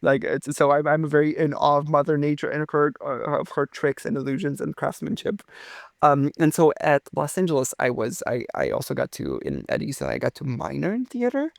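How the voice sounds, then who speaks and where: A man's voice: on the high side, expressive, a little fast, gravelly, dry recording, studio quality. A young man from Romania, a physicist guest, telling his story in a casual interview with enthusiastic, theatrical phrasing.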